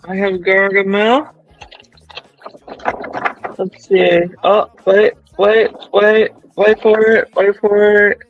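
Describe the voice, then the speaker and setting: Man, thirties, excited rambling streamer, high-pitched, faint music behind. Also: takes it slowly, long pauses, dull audio. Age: 30s. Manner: excited, rambling, restarts often. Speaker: streamer showing toy collection. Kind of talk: casual chat.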